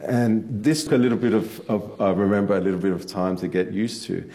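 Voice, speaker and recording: A man with a very low, gravelly, mellow voice. He talks in a halting way, full of ums and restarts, as a guest on a stage panel. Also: steady tone. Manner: halting, many fillers and restarts. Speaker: author guest. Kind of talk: panel discussion. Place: on stage before an audience.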